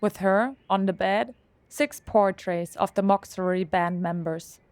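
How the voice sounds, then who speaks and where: A woman's voice: mid-range voice, measured pace, a little mellow, no echo. A woman reading scripted narration as the narrator of an audio drama.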